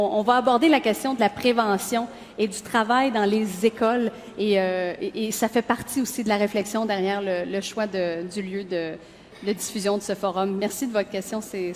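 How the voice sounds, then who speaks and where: A woman moderating a public panel forum at a college, polite and brisk. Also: on the high side. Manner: brisk, polite, fills in with short acknowledgements. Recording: reverberant hall, faint room noise.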